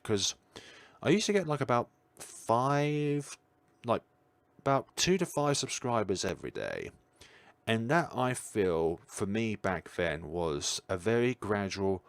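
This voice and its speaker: A man's voice: low-pitched, no echo. A tired man musing aloud in a casual livestream chat, answering his viewers' questions as he goes. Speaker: streamer.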